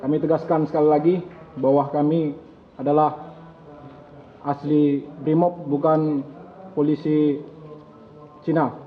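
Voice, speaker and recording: A man's voice: a little high, flat delivery, unhurried, long pauses. A male police officer from North Sumatra, stating his identity in a stiff, dutiful way. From North Sumatra, Indonesia. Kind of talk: formal self-introduction. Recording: distant, phone-like audio.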